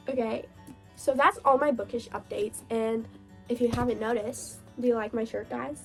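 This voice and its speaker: A young woman chatting casually about books, her voice hoarse, gravelly and stuffed up from a cold, gushing and laughing often. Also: fairly high pitch, steady tone, unhurried, soft background music. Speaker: book vlogger. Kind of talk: casual reading wrap-up chat.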